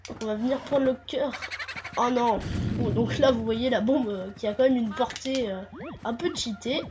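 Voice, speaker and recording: A woman's voice: fairly high pitch, normal speed, highs cut off, over music. A woman with a stuffy, nasal voice from a cold, commenting a game walkthrough hesitantly, with many fillers and restarts. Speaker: gameplay commentator.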